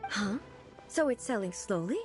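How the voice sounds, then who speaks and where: An actress playing a calm, dignified and curious female ruler in a video game, speaking in a formal, measured way. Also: mid-range voice, soft background music, natural voice. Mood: pleased, composed.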